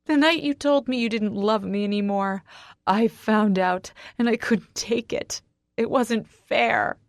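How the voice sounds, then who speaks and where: A woman's voice: medium pitch, steady tone, a little slow, darkish tone, no room sound, clean studio sound, lightly acted. A woman narrator playing a distraught, defensive wife in an audiobook, pleading and emotionally raw. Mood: sobbing.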